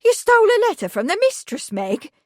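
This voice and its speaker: A woman's voice: sits high, very animated, measured pace, a little mellow, no room sound, studio quality, pitched above the performer's own voice, heavily acted. A woman voicing a middle-aged household servant with rustic country speech, blunt and matter-of-fact. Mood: aghast.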